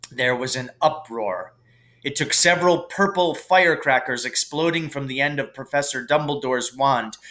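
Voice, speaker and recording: A man reading a storybook aloud as a teacher would, in expressive narration. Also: mid-range voice.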